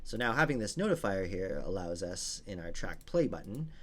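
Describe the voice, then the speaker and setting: A man's voice: deep voice, very animated, moderate pace, slight background noise. A man giving a casual, informal step-by-step coding walkthrough as a tutorial instructor. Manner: informal, explains step by step while working.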